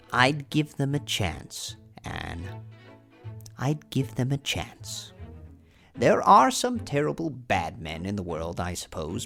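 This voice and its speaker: A man's voice: lowish voice, vocal fry, a bit bright, exaggerated voice. A man playing a blunt older farmer. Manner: blunt, unhurried, reflective. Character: blunt older male farmer neighbor, plainspoken. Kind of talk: audiobook drama reading.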